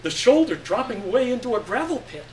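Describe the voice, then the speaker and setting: A man giving an expressive, deliberate dramatic reading of literary fiction on stage. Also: very high-pitched. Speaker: story reader. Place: live stage reading event. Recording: echoey room, distant mic, faint noise.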